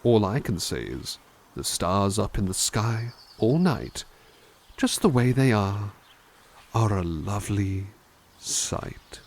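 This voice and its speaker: A man playing a gentle, kindly butler who softly reads poems aloud in an ASMR roleplay and muses thoughtfully on each one. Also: fairly low pitch, lively intonation, slow pace, full character voice. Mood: musing. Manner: soft, musing, thoughtful asides between poems. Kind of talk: ASMR roleplay poetry reading.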